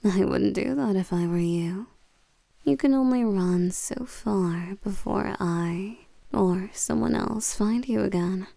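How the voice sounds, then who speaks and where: Slow, creaky, seductive vampire queen played by a woman. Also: mid-range voice, dry recording. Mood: predatory, taunting. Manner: slow, coaxing, commanding, softly menacing. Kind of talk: scripted roleplay audio drama, addressing the listener.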